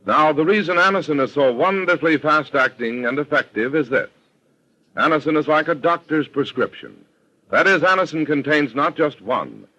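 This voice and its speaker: Old timey american radio announcer guy, punchy n animated, dull sound, bit of music behind. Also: medium pitch, breathy edge. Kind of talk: 1940s radio announcing and ad reading. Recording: dull vintage radio broadcast recording.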